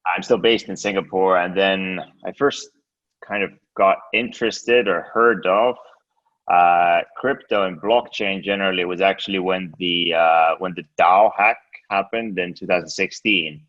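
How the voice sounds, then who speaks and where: A man from Estonia talks in a very low voice, stopping and restarting his sentences and filling the gaps with "uh" and "um". The sound is dull and lo-fi, and the pitch stays deep throughout. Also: steady tone, unhurried, fairly bright tone. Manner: hesitant, many fillers and restarts. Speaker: guest, exchange co-founder. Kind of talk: casual interview.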